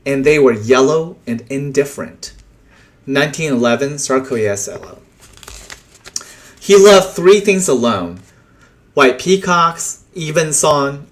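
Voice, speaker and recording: Guy reading poems out loud, really slow, pauses between bits, pitch goes up and down a lot. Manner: deliberate, pausing between short phrases. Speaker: poetry reader. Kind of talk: reading translated poems aloud.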